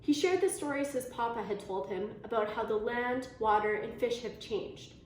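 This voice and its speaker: A woman reading a children's story aloud in an expressive storytelling manner. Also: fairly high pitch, moderate pace. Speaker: storybook reader. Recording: very echoey room, faint noise.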